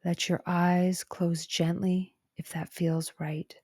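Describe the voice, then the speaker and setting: Slow, soft woman guiding meditation. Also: lowish voice, no echo, studio quality. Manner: gentle, calm, soothing, soft breathy phrase endings. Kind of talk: guided meditation narration.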